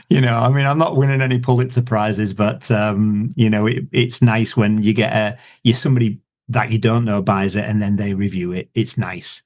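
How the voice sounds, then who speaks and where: A man's voice: fairly low pitch, steady tone, normal speed, a little mellow. A man with a Yorkshire accent, an author as a guest, talking in a rambling, casual way with many fillers and restarts. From Yorkshire, England. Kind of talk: casual interview chat.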